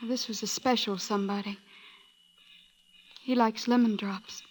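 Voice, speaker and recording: An actress playing a gentle, lovestruck young small-town woman, soft, hesitant and earnest, in old-time radio style. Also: on the high side, steady tone, unhurried. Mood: hesitant, careful.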